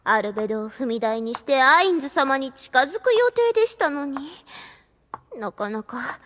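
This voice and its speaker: A woman's voice: high voice, very animated, moderate pace, fairly bright tone. A woman playing a catty, vain young noblewoman who talks in affected archaic courtesan speech in an anime audio drama. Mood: sulky.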